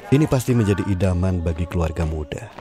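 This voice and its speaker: Male storyteller for an audio drama, deep and measured, music under the voice. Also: animated. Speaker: narrator. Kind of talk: scripted drama narration.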